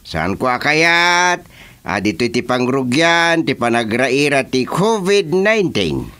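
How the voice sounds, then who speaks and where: Actor as a slow-talking, swooping bossy village chairman. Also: medium pitch, very animated, sharp tone. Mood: stern, official. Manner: lecturing, mixes English into his speech. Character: bossy, teasing male village council chairman. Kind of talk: rural radio comedy drama acting.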